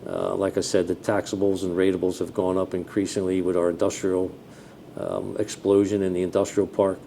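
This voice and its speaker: Middle aged american guy, fire chief in an interview, low voice, some rumbly noise behind him. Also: flat delivery, fairly quick, vocal fry, mellow. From New Jersey, United States. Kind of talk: conversational interview answers.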